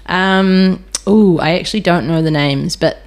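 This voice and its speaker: Woman, very low, gravelly voice. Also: a little slow. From New Zealand. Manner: relaxed, hesitant, lots of ums. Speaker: guest, cinema venue manager. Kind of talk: casual interview chat.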